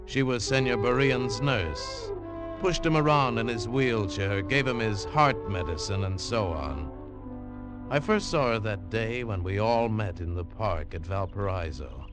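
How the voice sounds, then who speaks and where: An actor playing a worldly American adventurer with a wry, cool delivery, in a 1940s radio drama. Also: male voice, on the low side, lively intonation, a little slow, over music. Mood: reflective. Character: worldly American adventurer living by his wits. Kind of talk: first-person narration and dialogue, 1940s radio acting. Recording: old 1940s radio broadcast.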